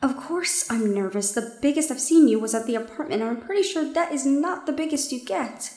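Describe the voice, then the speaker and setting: A woman playing a shy, easily flustered young gorgon student in an audio roleplay, speaking in halting, exclamatory questions. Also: sits high, expressive, darkish tone, husky, no echo, heavily acted. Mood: nervous, teasing. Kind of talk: audio roleplay drama acting.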